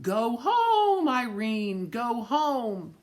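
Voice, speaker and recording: A woman's voice: fairly high pitch, sing-song, extremely slow, a little mellow, full character voice. A woman voicing a wicked, bossy storm wind that squalls and yodels commands in a storybook.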